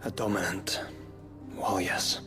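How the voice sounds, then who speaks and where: An actor playing a courteous, steadfast noble warrior companion in a fantasy game, speaking formally. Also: male voice, deep voice, little pitch movement, takes it slowly, over music. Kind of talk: dramatic fantasy game dialogue.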